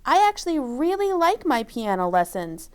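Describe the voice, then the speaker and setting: A woman's voice: high-pitched, sing-song, moderate pace, voice pushed up. A woman voicing an eager, earnest young girl in a children's story, with a childlike manner.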